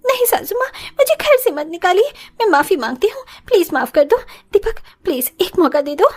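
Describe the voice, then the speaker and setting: A woman voices a spoiled, arrogant young rich daughter-in-law in a cartoon. Her voice is extremely high and swings widely in pitch. She talks down to people with haughty, cutting scorn. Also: sing-song, fairly quick. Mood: pleading. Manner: haughty, scornful, sharp-tongued. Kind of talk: Hindi moral story cartoon acting.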